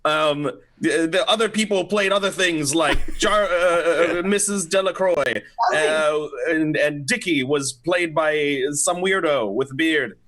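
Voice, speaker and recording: A man with a slightly high voice reads as narrator and announcer of an old radio drama. His delivery is informal and playful, with stumbles over the script. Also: measured pace, fairly bright tone.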